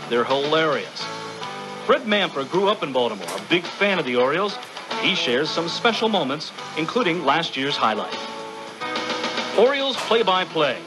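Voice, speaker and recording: Man, scripted radio narration, lively intonation, raised pitch, music behind. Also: low-quality recording.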